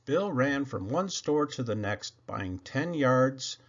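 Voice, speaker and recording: A man reading a children's story aloud, narrating expressively with dramatic emphasis and drawn-out words. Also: medium pitch, expressive, muffled recording. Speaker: storybook reader.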